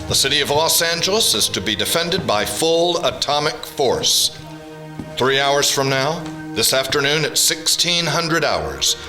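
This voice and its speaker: A commanding army general played by a man in a radio drama. He is formal and measured, with a mid-pitched voice that moves in animated swings. Music sits behind him. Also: natural voice. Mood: solemn. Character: commanding, grave army general briefing officials.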